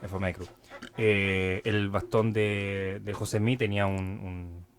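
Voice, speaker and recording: A man's voice: fairly low pitch, flat delivery, unhurried. A young man with a Chilean accent co-hosting a podcast, talking casually and loosely with slang and lots of fillers. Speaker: podcast co-host.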